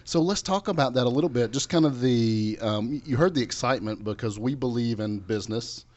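A man's voice: medium pitch, expressive, brisk, darkish tone. A man hosting a conversational local business radio interview in an informal, bantering way. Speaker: radio show host.

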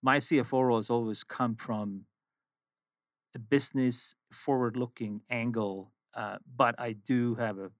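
A man's voice: medium pitch, steady tone, measured pace, no echo, clean studio sound. A middle-aged man from Sweden with a Swedish accent, Swedish being his first language, talking as an interview guest and telling reflective stories about his work. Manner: reflective, storytelling. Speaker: guest, finance executive. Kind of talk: casual interview chat.

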